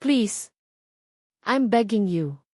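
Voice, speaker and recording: A woman's voice: medium pitch, lively intonation, very slow, darkish tone, no room sound, clean studio sound. A woman with a Filipino accent voicing a domineering male billionaire lover in an audiobook, with an intense, commanding manner. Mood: pleading, urgent.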